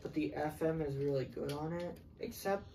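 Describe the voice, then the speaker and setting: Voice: mid-range voice, normal speed, noisy background. A chatty co-host giving a casual, unscripted product review, speaking directly to viewers and a partner.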